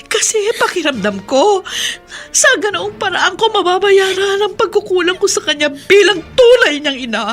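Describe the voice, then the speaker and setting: A woman's voice: extremely high pitch, very animated, normal speed, a bit bright, faint music behind, exaggerated voice. A woman acting an anguished, pleading mother in an emphatic, melodramatic radio drama. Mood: tearful pleading. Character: anguished mother confessing a long-hidden family secret.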